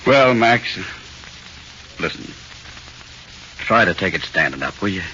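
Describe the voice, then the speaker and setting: Gruff American policeman, deep voice, halting slangy speech, awkward sympathy, dull 1940s radio drama recording. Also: sing-song, bright, forceful tone, a little hiss or hum, full character voice. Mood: uneasy sympathy. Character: gruff, awkwardly sympathetic male cop guarding a condemned prisoner.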